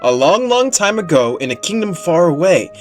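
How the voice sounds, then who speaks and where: A man voicing a small, excitable female fairy companion from a video game, perky and dramatic. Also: sits high, moderate pace, soft background music. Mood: storytelling. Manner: perky, dramatic, refers to self by name.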